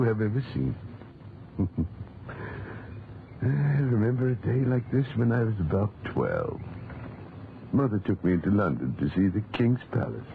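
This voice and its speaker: An actor playing a kindly, talkative old English gentleman gardener who mutters to himself, in a 1940s radio drama. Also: on the low side, gravelly, full character voice. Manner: rambling, muttering to himself and to things. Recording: muffled noisy 1940s radio recording.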